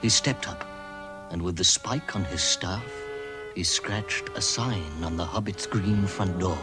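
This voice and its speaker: A man narrating a fantasy audio drama as a measured, grave storyteller. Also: sits low. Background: music.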